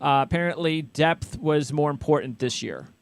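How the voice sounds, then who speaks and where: A man hosting a casual sports interview, asking questions in a halting way and often rephrasing mid-sentence. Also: fairly high pitch. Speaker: interviewer, sports show host.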